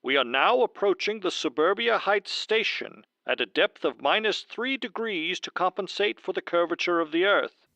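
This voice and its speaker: A man's voice: high-pitched, lively intonation, moderate pace, sharp tone, dry recording, full character voice. A man voicing a courteous, formal subway conductor in an audiobook. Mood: courteous, official. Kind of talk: audiobook character acting.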